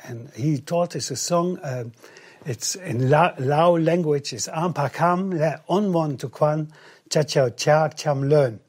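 Husky man, halting. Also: a little high, darkish tone. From Netherlands. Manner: halting, repeats words, many fillers. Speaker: guest, travel coordinator. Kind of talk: interview testimony in English, paused for interpreting.

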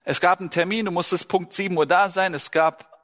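Brief: male preacher, fairly high voice, chatty sermon, lingering hall echo. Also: steady tone, fairly quick, fairly bright tone. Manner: conversational, asks the congregation questions. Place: church hall. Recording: long hall reverb.

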